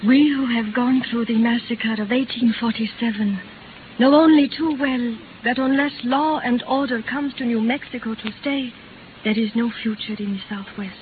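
A woman's voice: on the high side, normal speed, phone-quality sound, natural voice. A woman acting a brave, resolute frontier wife giving urgent orders in a scratchy old 1930s radio drama. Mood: grave, composed.

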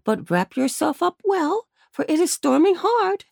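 A woman's voice: very high-pitched, big pitch swings, a little slow, gravelly, no room sound, clean studio sound, pitched above the performer's own voice, heavily acted. A woman voicing a fussy, motherly muskrat housekeeper lady in a storybook. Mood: caring.

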